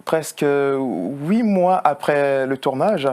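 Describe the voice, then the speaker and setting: A man from France, a film producer, answering a TV interview in an earnest, halting way. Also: fairly high pitch, a little mellow. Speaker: film producer and actor, guest. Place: TV studio.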